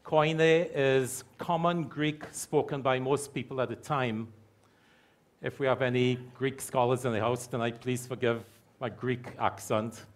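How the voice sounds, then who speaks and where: A middle-aged man preaching a sermon from a church pulpit mic, repeating key phrases slowly for emphasis. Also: mid-range voice, steady tone. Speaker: pastor. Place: church hall with stage curtains.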